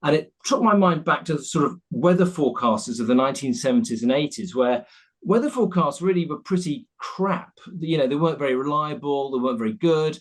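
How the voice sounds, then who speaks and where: A man's voice: fairly high pitch, lively intonation, fairly quick. A man putting a blunt, sceptical question to a presenter in an online meeting. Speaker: audience member asking a question.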